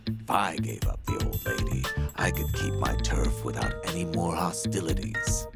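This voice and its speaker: An actor playing a gruff, shrewd, cynical gang boss with a gravelly voice in a video game. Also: male voice, low voice, big pitch swings, moderate pace, loud music behind the voice, heavily acted. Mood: wry, probing. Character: gruff, shrewd male gang boss guarding his turf.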